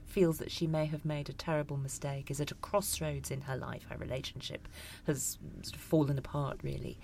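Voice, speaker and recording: A woman's voice: sits low, a little hiss or hum. A woman interviewing an author, asking long, eloquent questions about the author's books. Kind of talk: literary interview.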